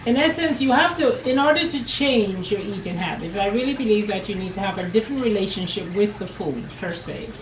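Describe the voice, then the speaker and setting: A woman's voice: mid-range voice, lively intonation, brisk, few pauses, background noise. Earnest woman from Jamaica, echoey room. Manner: earnest, repeats "per se" and "henceforth", restarts often. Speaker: herbalist and nutrition business owner. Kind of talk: conversational interview answers.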